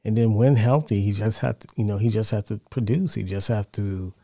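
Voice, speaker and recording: Male American sports host, middle-aged, lowish relaxed voice, measured and conversational delivery. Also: gravelly, no echo, studio quality. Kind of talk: casual sports commentary. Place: small home studio, indoors. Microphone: close broadcast mic on boom arm.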